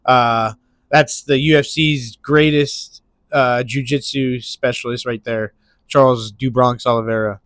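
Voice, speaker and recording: A man's voice: medium pitch, fairly even intonation, measured pace, a bit bright, dry recording. A man with a stuffy nose hosting casual solo sports talk, rambling with lots of restarts and fillers. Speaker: MMA commentary channel host.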